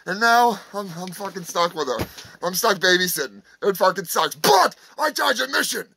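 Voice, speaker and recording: A man's voice: sits high, expressive, normal speed, gravelly, sharp tone, a little hiss or hum, heavily acted. A man playing a manic, meth-smoking roadside zoo owner who rants profanely and boasts like a showman. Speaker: comedian in character. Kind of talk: crude sketch comedy monologue.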